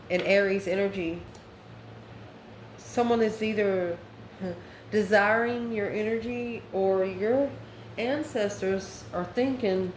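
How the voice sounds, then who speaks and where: A woman giving a casual, spontaneous tarot reading, talking straight to the viewer in a conversational, exclamatory way. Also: medium pitch. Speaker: tarot card reader. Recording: echoey room with faint background noise.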